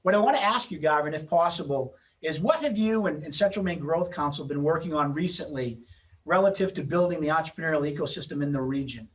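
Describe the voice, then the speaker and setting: A man's voice: on the high side, fast, far from the mic, rough recording. A middle-aged man speaking as the host and moderator of an event, in a semi-formal, loosely scripted introducing style on a video-call microphone.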